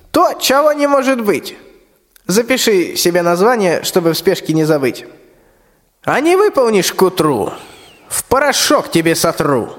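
A male performer playing a bossy, threatening tsar who orders people about in folksy rhyme in a radio fairy tale. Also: high-pitched. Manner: commanding, folksy rhymed speech. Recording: long reverb, music behind.

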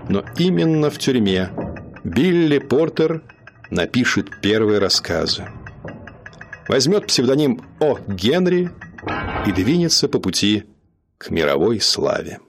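A man narrating a radio drama in a measured, dramatic storytelling manner. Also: mid-range voice, big pitch swings, creaky, soft background music.

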